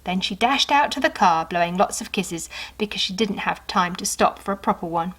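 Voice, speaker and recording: A woman reads a children's story in a medium-pitched voice. Her delivery is expressive and unhurried, slipping into conversational asides as if sharing the book with a small child. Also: poor audio. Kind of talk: children's story read aloud with asides to the listener.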